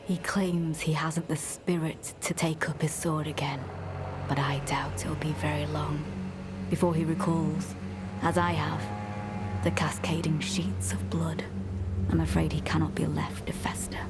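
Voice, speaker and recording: An actress playing a devoted, suspicious female knight in a fantasy game, speaking formally and with measured, archaic phrasing and quiet menace. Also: sits low, flat delivery, loud music behind the voice. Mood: quietly menacing. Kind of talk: dramatic fantasy game dialogue.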